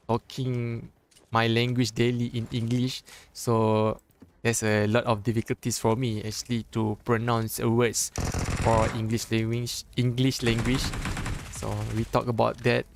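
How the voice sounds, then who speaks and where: A young man from Malaysia with a Malaysian accent, a Malay native speaker casting a live mobile esports match in English, chatty and joking, with halting phrasing and frequent restarts. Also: mid-range voice, slight background noise. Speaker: esports caster. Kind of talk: live esports game commentary.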